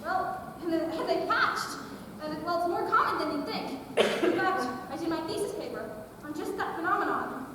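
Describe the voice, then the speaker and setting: Girl doing scatterbrained earnest surgeon in a school comedy, super high voice, big hall reverb. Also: expressive, normal speed. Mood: grave, clinical. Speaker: student actor. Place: large hall, stage performance. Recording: echoey hall, distant mic, noisy.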